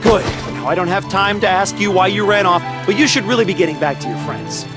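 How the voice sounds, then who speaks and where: An actor playing a brash, hot-headed but caring young ninja in an anime-style video game, blunt and emphatic. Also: male voice, high voice, fairly quick, over music, lightly acted. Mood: exasperated. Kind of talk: video game voice acting in anime dub manner.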